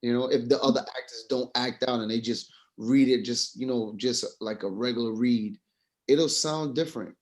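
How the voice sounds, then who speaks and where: A man talking casually in a class discussion, haltingly working through his point as he speaks. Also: mid-range voice. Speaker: participant in a film class group meeting.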